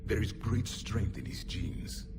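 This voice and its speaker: An actor playing a proud, cold ancient alien soldier in a video game cutscene, speaking in a grave, half-whispered way. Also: male voice, on the low side, measured pace, heavy background noise. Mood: grim. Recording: distant voice under loud game music.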